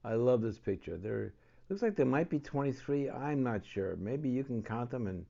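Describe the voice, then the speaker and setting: A man's voice: medium pitch, lively intonation, moderate pace, creaky, warm tone, highs cut off. A man reading a picture book aloud for children as a storyteller and host.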